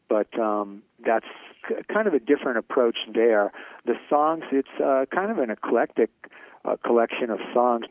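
Low-voiced man, halting and dry. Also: dry recording, phone-quality sound. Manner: hesitant, many fillers and restarts. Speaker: metal band vocalist. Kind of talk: interview, casual chat.

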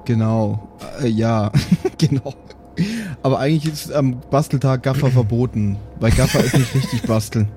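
A man's voice: mid-range voice, normal speed, dark tone, faint music behind. A man bantering casually as a player in an improvised tabletop role-playing show.

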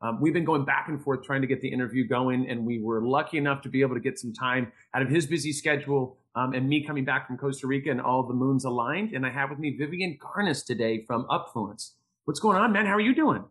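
Male podcast host, quick talker, informal and gushing, loose conversational interview style. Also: mid-range voice, a bit bright. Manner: effusive, informal, wordy. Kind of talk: casual interview chat.

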